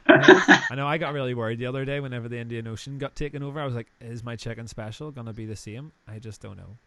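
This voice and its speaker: A man's voice: medium pitch, quick pace, crowd noise. A man in his thirties with a Northern Irish accent, hosting a live-stream interview in a chatty, joking, rambling way. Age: 30s. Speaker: host.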